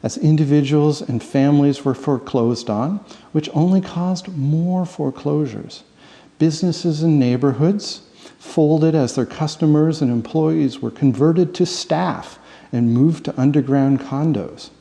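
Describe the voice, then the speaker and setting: A man narrates fiction like an audiobook, with a soft-edged, mellow tone and plenty of gravelly creak. His storytelling is dramatic and expressive. The voice rings with long reverb, as if in a hall. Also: fairly high pitch, a little slow. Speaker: author reading own novel.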